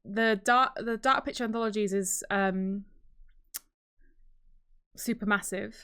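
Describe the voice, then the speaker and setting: A woman's voice: medium pitch, steady tone, takes it slowly, a bit bright, clear, resonant tone. Casual, chatty woman podcast host. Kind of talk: casual chat.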